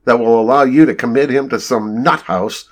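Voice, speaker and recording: A man's voice: mid-range voice, expressive, moderate pace, natural voice. Man acting a hot-tempered, indignant company boss. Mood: indignant, threatening. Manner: confrontational, indignant, swearing.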